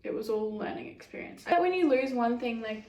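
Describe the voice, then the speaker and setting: A young woman talks through fan questions in a loose, giggly way, her voice sitting fairly high. She leans on "like" as she goes, and the room around her rings with a noticeable echo. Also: animated, fairly quick, a little mellow. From Australia. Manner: chatty, giggly, lots of "like" fillers. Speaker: YouTuber answering fan questions. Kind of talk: casual Q&A chat.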